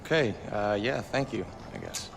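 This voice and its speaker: An actor playing a wary, weary young hero in a video game, hesitant and understated. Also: male voice, lowish voice, lively intonation, moderate pace, creaky, over music. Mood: uneasy. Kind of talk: video game cutscene acting.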